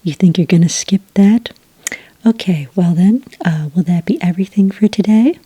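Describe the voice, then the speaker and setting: A woman playing a friendly, helpful witch who serves at a magical cafe, speaking in a soft, breathy whisper in a patient ASMR roleplay style. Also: lowish voice, normal speed, no room sound. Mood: helpful, gentle. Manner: patient, gentle, explanatory. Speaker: cafe server taking orders.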